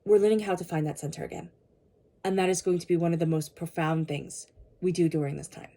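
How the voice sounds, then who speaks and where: A woman with a cold giving a tarot reading, speaking earnestly and slowly repeating her invocations. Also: on the low side, fairly even intonation, creaky, warm tone. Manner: soothing guided meditation. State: has a cold. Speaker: tarot reader.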